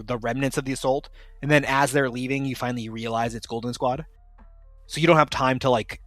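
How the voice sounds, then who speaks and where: A casual game master, a man with a middle-pitched voice who explains the scene at length and keeps circling back with fillers and restarts. His voice sounds dry and close, with faint music under it. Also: a bit bright, no room sound. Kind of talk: casual tabletop game narration.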